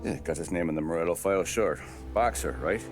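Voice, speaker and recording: A very deep-voiced American lawman acted by a man, hard-boiled and skeptical. He talks in sardonic period slang, as in a cinematic crime game, with music playing underneath. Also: a little fast, close to an everyday voice. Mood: dry, conceding.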